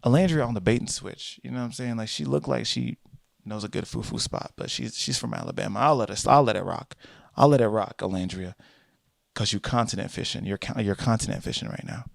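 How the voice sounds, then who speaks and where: A young American man hosting a solo talk show, rambling and animated, often jumping into high mocking voices to quote people. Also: creaky, dry recording, studio quality. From United States. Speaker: podcast host. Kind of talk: casual solo commentary.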